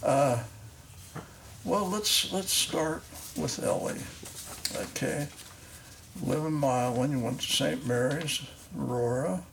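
Older male podcast host, gravelly voice, halting and rambling, faint hiss behind. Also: a little high. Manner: halting, many restarts and fillers. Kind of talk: casual interview.

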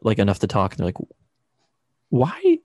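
Man, podcast co-host, low voice, lively swings, joking, dry close sound. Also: moderate pace, husky, no echo. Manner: joking, playful, tosses in silly put-on accents. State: sleepy. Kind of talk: casual chat.

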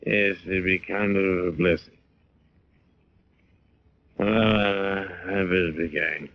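Slow, gravelly drunken drifter. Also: male voice, sits low, steady tone, slight background noise, exaggerated voice. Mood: gloomy, fuddled. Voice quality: gravelly, halting. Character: drunken, weary male drifter, gloomy and halting. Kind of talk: 1940s radio drama acting. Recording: dull old radio recording.

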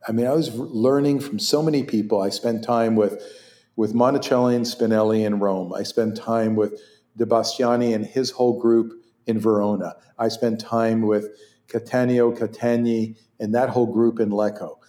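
A man's voice: fairly low pitch, normal speed, echoey room. A man in his sixties whose first language is Hebrew, telling stories as an interview guest with lots of laughs and restarts. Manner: animated, laughs often, many restarts. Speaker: interview guest, orthopedic surgeon. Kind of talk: conversational storytelling.

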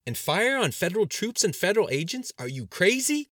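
A man voicing a panicked, scornful male politician in an audiobook, shouting his objections with incredulous mockery. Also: high-pitched, big pitch swings, brisk, dry recording, clean studio sound, pitched above the performer's own voice, heavily acted. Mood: near hysterical. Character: panicked, scornful male cabinet member arguing in dread. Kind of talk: dramatized audiobook dialogue.

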